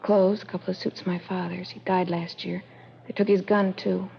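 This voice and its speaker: A woman's voice: fairly low pitch, flat delivery, moderate pace, gravelly. An actress playing a defensive, evasive young American woman in a radio crime drama, speaking in a guarded, insistent way. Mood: resigned, shaken. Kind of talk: 1940s-50s radio drama acting. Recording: muffled, noisy vintage radio recording.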